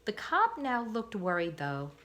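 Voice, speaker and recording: A woman's voice: medium pitch, sing-song, a little slow, a bit bright. A woman teacher reading a children's novel aloud, careful with small slips. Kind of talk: storybook read-aloud.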